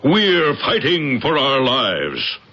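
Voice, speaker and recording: An actor playing a domineering, stingy factory boss in an early eighties radio drama, blustering and preachy with a gravelly voice. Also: male voice, medium pitch, huge pitch swings, extremely slow, very noisy, exaggerated voice. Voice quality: gravelly, rough. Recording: dull old radio broadcast.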